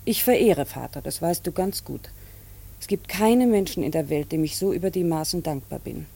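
An actress in a radio play, playing an earnest, dutiful unmarried daughter with a restrained, halting but firm manner. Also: female voice, sits low, lively intonation, creaky, warm tone, slightly rough, exaggerated voice. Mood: firm, devoted. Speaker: actor in a radio drama. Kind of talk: German radio play dialogue.

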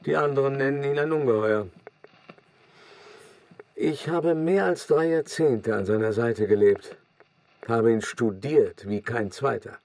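A man's voice: medium pitch, darkish tone, no room sound, lightly acted. Man playing a shrewd older art dealer reminiscing. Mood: musing, proud. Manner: reflective, conversational storytelling with deliberate pauses. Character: older male art dealer, shrewd, self-satisfied, recounting his past. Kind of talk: radio drama first-person monologue.

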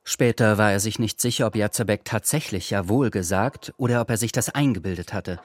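A man's voice: fairly low pitch, fairly quick, no echo, studio quality. A man narrating a radio play in a scripted, literary style. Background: music.

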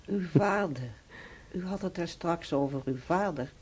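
An actress playing a prim, inquisitive woman in a radio play, husky-voiced and insistently repeating her questions. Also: lowish voice, unhurried, darkish tone. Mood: insistent, prying. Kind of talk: radio drama acting.

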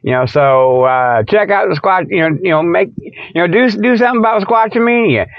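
A man with a notably high voice hosts an improvised comedy monologue. He talks in a folksy, repetitive, rambling way and clearly enjoys his own jokes. The sound is dry and slightly dull, like lo-fi radio. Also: fairly quick, no echo. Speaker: host of a comic Bigfoot report show.

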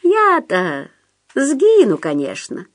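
A woman's voice: mid-range voice, expressive, a little slow. A woman voicing an insinuating male phantom in an audiobook, coaxing and nagging in a familiar, plaintive, conspiratorial way. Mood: plaintive, needling. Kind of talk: audiobook character voice.

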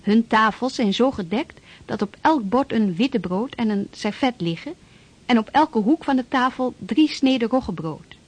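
A woman's voice: on the high side, expressive, normal speed. A woman giving matter-of-fact scripted historical narration within a radio play. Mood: informative.

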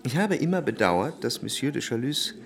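An actor playing a refined first-person narrator in a radio drama, speaking in a measured, precise, reflective literary manner. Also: male voice, mid-range voice, big pitch swings. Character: reflective, refined male narrator recalling Parisian salon society. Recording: faint music behind the voice.

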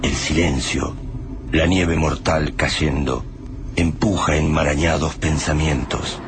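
A man narrating a radio drama in a slow, solemn, measured way. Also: a bass voice, flat delivery. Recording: noisy recording.

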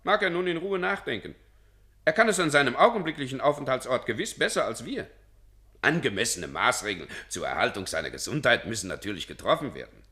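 A man's voice: medium pitch, sing-song, a little fast, pushed and bright. An actor in a German radio play playing a self-important, evasive senior civil servant who dithers officiously and draws out his phrases.

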